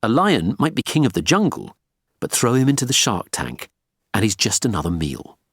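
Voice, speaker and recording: A man's voice: fairly low pitch, huge pitch swings, normal speed, slightly rough, dry recording, studio quality, voice pushed up, heavily acted. A man playing a male detective superintendent in an audiobook, firm, probing and authoritative. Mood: stern.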